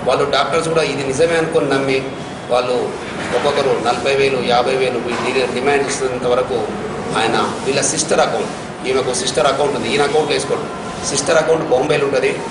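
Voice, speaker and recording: A man gives a spoken briefing to the press, talking quickly. He is picked up from a distance in an echoey space, with very loud noise around him. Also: mid-range voice, steady tone. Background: loud ambient noise.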